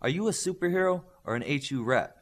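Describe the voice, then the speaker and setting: A man's voice: a little high, lively intonation, fast talker, gravelly, pitched above the performer's own voice. A man voicing a restless, cocky young male superhero in audiobook dialogue, impatient and daring. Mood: curious.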